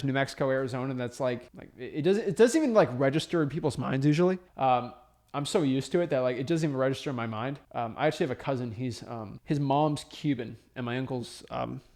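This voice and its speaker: A young man coaching listeners on real estate wholesaling in an emphatic, energetic teaching talk, often checking in with "right?". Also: on the high side. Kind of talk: motivational teaching talk to camera.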